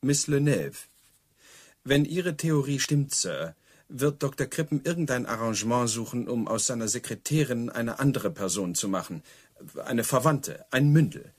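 Casting: dutiful police sergeant type, husky medium-pitched voice, stiffly polite, dry, pedantic, radio drama. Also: male voice, animated, moderate pace. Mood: dry, formal. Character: stiff, deferential, principled male police subordinate. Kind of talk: German radio play dialogue.

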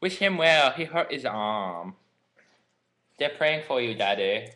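A man's voice: mid-range voice, lively intonation, takes it slowly, pushed and bright. A teenage boy joking around on a laptop webcam in his home kitchen, silly and playful. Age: teens. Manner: silly, joking, puts on voices. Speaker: vlogger. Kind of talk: goofy casual webcam chat. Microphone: built-in laptop webcam mic.

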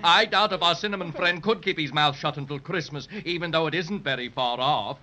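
A pompous, teasing toy fellow in an old children's radio play. The voice is highish, bright and very animated. The vintage recording has noise under it. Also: male voice, fairly quick. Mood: teasing amusement. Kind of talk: 1930s radio acting. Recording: noisy, clicking 1930s radio recording.

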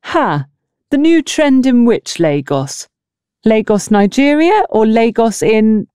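Female narrator as village seamstress, dryly sardonic and blunt, mellow tone, lively inflection, audiobook reading. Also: a little high, takes it slowly, clear, resonant tone, dry recording, clean studio sound, pitched above the performer's own voice. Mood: incredulous. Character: blunt, sardonic village dressmaker, incredulous woman.

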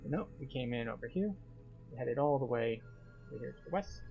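A man with a mid-pitched voice gives a guided game commentary. He explains tactics step by step with a light playful touch, and music plays behind him. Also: lively intonation, fairly quick, creaky, muffled recording. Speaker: host of a game playthrough guide.

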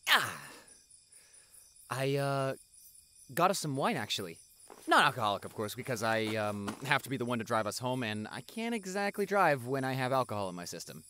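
A young man playing a devoted doctor boyfriend in a roleplay audio drama, speaking softly and affectionately with some hesitation. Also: mid-range voice, no echo. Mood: flustered, affectionate. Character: tender, devoted doctor boyfriend on an anniversary date. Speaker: voice actor.

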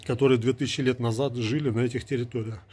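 A man's voice: mid-range voice, steady tone, fast, a little mellow, slightly rough. A man in his forties from Ukraine, talking to a phone camera at arm's length in a small indoor room, arguing with a sarcastic, lecturing manner. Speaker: vlogger. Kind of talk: casual talk to camera. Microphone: earbud mic, phone at arm's length.

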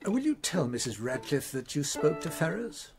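A man playing a loyal, hesitant British gentleman doctor in a radio drama, with an expressive, slightly bumbling manner. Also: on the high side, normal speed, vocal fry, music in the background. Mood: awkward.

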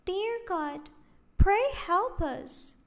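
A woman voicing a frightened little girl in a storybook reading, pleading in a high, tearful way. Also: lively intonation, extremely slow, exaggerated voice.

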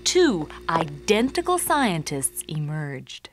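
An actress playing a prim, argumentative woman who tells her own story in wry, measured cartoon narration. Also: low voice, huge pitch swings, exaggerated voice. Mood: wry, assertive. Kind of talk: scripted first-person cartoon narration. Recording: music under the voice.

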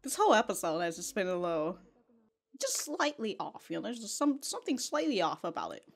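A woman's voice: mid-range voice, big pitch swings, fairly quick, slightly rough. A young adult woman giving casual, blunt reaction commentary with amused, sweary asides, close on a desk microphone in a small home room. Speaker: anime reaction host. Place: small home bedroom studio.

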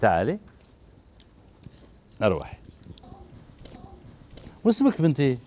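Algerian guy in his 40s hosting a kids show, very lively sing-song voice, keeps cheering the kids on, handheld mic in a tv studio. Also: medium pitch, slow, slight background noise. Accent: Algerian Arabic. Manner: gentle, encouraging, repeats praise over and over. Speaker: children's TV show host. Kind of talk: coaxing kids on stage. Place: indoor TV studio set.

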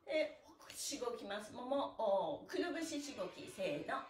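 A woman's voice: fairly high pitch, sing-song, normal speed, slight background noise. A middle-aged woman cheerfully leading an exercise class, encouraging and calling out counts in a sing-song way. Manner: cheerful, encouraging, sing-song calls while demonstrating stretches. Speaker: stretching instructor. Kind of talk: live exercise lesson with chanted counting. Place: small bare indoor room. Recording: echoey room, mic at a distance.

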